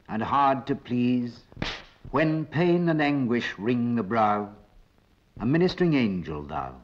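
A British man gives a measured, theatrical narration for a 1940s information film. His intonation is lively and varied, and the recording sounds dull and old. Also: medium pitch.

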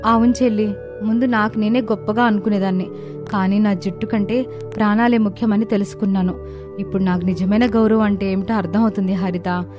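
A woman's voice: mid-range voice, steady tone, fairly quick, close to an everyday voice. A woman playing earnest, caring young village sisters in a moral story cartoon, with a dramatic delivery. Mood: reflective. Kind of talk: animated moral story dialogue. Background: background music.

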